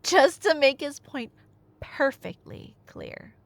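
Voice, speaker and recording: A woman's voice: sits high, very animated, takes it slowly, creaky, a bit bright. A woman telling a myth in a casual, chatty but dramatic way, with wry asides, like an ASMR roleplay storyteller. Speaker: storyteller playing a chatty girlfriend.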